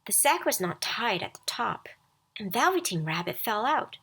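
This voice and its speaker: A woman reading a scripted children's bedtime story aloud as storyteller, expressive and deliberate. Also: medium pitch, breathy edge.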